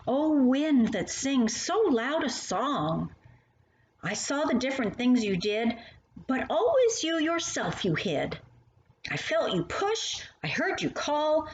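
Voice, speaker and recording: A woman reading poems and stories aloud to young children in an expressive, animated manner, speaking directly to the listener. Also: fairly high pitch. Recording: roomy, muffled sound with faint noise.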